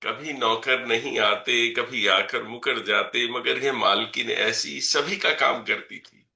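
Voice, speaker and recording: A man recites his poetry at an unhurried pace, going over each couplet twice and stopping for short asides to his listeners. His voice is bright and forceful. The sound is roomy and echoey, as on a video call. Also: medium pitch, creaky. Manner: recites each couplet twice, adds brief explanations between verses. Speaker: poet reciting at an online poetry gathering.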